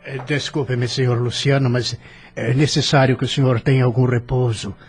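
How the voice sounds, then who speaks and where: Audio drama role, man acting: kindly Brazilian physician, creaky-voiced, persuasive and polite, faint music behind. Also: mid-range voice, little pitch movement, moderate pace, close to an everyday voice. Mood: reassuring. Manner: courteous, paternal, persuasive. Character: kindly, reassuring, gently joking male hospital doctor. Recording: music under the voice.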